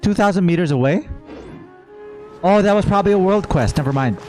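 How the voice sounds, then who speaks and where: Lively man doing casual game commentary. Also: high-pitched, a little fast, soft background music. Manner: playful, musing aloud. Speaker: gamer, streamer. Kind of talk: casual gameplay commentary, reading dialogue aloud.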